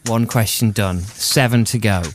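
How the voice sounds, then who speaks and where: A man hosting a radio quiz, playful and often laughing, reading out options slowly. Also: on the low side, fairly even intonation, slight background noise. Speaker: radio host running a quiz.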